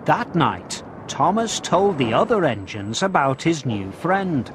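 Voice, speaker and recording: A man narrating a children's storybook game in a deliberate, expressive storytelling style, as scripted narration. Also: fairly high pitch. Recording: music playing behind the voice. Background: background music.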